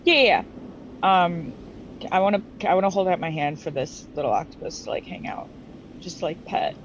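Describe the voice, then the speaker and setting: A woman's voice: fairly low pitch, normal speed. A woman chatting casually while playing a tabletop game. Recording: dull, slightly noisy audio.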